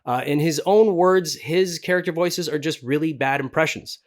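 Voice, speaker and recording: A man's voice: on the high side, normal speed. A man hosting a tutorial in a chatty, self-deprecating way, like a working voice actor talking to viewers. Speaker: video host and voice actor. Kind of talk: casual scripted tutorial to camera.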